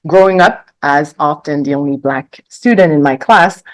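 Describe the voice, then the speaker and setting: A woman's voice: deep voice, moderate pace, dry recording. A woman in her forties from Quebec, speaking as a panelist and reading a prepared speech to an audience. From Quebec, Canada. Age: 40s. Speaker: panelist, book contributor.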